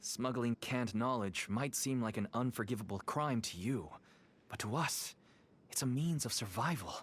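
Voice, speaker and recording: A man voicing a weary, pleading merchant and devoted father in a video game, speaking in an earnest, measured, persuasive way. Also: medium pitch, a bit bright, slight background noise, natural voice. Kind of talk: video game dialogue acting. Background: background music.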